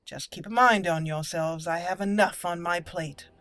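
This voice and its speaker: A woman voicing a proud, courteous young elf mage from a fantasy game, with formal, measured phrasing. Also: lowish voice, a bit bright, dry recording, close to an everyday voice. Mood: courteous, welcoming.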